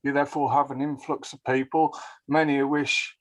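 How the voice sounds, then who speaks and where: A man's voice: medium pitch, little pitch movement, normal speed, fairly bright tone, low-quality recording. A man giving his opinion in a casual, rambling way, often restarting his sentences. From England. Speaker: caller to a local discussion show.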